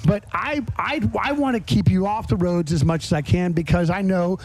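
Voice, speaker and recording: A man's voice: high voice, moderate pace, darkish tone, low-quality recording. A middle-aged man hosting a podcast, chatting casually in a rambling, self-interrupting way. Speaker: podcast host.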